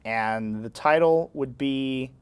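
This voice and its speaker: A man's voice: mid-range voice, slow, clean tone. A man speaking as an interview guest in a casual film chat, with a wry, joking manner. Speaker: interview guest, arts and entertainment editor.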